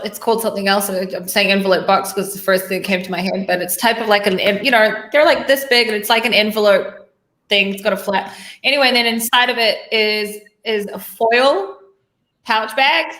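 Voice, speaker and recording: A woman's voice: medium pitch, a little fast, fairly bright tone. Chatty New woman in an echoey room. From New Zealand. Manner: talkative, rambling, self-correcting. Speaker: host. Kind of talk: casual interview chat.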